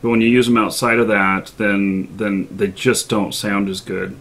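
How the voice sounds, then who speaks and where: A man hosting a live gaming show in casual chat, halting a little as he thinks aloud. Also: on the low side, steady tone, fairly bright tone.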